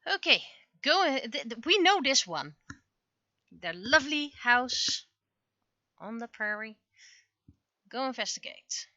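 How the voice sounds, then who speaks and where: Bright, high-voiced woman livestreamer thinking aloud. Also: a little slow, long pauses, no echo, dull audio. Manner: muttering to herself, self-questioning, expressive. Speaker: livestreamer, webcomic and visual novel creator. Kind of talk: casual livestream chat, thinking aloud while working.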